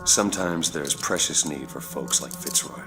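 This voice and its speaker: Tired tough gunman in a game, real low voice, short blunt grim lines. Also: moderate pace, music in the background. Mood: grim, matter-of-fact. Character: hardened, world-weary male ex-detective gunman. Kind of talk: dramatic video game acting.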